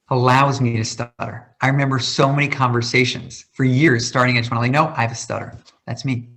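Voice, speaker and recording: A man's voice: medium pitch, fairly bright tone. A man in his thirties who stutters, speaking passionately and dramatically about his life in a question-and-answer talk. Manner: passionate, with a stutter and repeated words. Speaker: guest speaker. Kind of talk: personal talk in an online Q&A.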